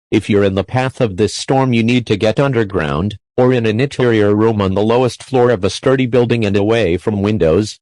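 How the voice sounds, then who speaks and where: An earnest, worried television weatherman played by a man gives urgent storm coverage. His voice sits on the low side and is recorded dry and close, with no room sound. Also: a little mellow, dry recording, natural voice, rough recording. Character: worried male TV meteorologist tracking a storm. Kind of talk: scripted live weather coverage.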